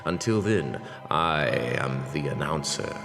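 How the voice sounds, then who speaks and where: A man's voice: a bass voice, expressive, unhurried. A man announcing a horror podcast in a theatrical, grandiose, ominous scripted style. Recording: long cavernous reverb over music.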